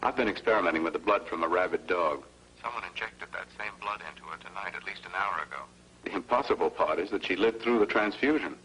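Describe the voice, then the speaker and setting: An actor playing a grave, guarded doctor with a formal, clinical manner, in 1950s B-movie style. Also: male voice, sits low, fast, a bit bright, low-quality recording. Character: grave, guarded male doctor and blood researcher. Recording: old film audio with faint hum.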